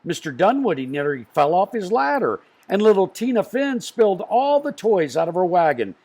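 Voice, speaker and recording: A man reading a children's storybook aloud in an expressive way, with dramatic pauses. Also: high-pitched. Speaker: storybook reader.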